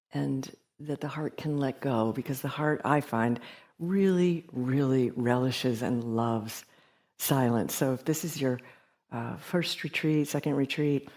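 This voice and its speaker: A woman gives a gentle retreat teaching talk in a soft, hesitant way, with many pauses and fillers. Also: rumbling low. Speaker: meditation teacher. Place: meditation hall.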